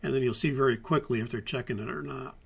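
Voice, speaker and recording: A man teaching a live-streamed airline pilot course in a relaxed, methodical way, hoarse from a lingering virus. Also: mid-range voice, quick pace. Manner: methodical, conversational, many fillers and restarts. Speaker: flight instructor. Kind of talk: live-streamed training talk.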